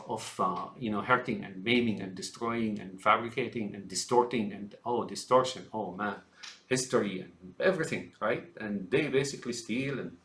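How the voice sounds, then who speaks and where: A man's voice: fairly low pitch, a bit bright, reverberant. A man from Syria giving a rambling, emphatic political monologue, often calling his listeners folks. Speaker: commentator.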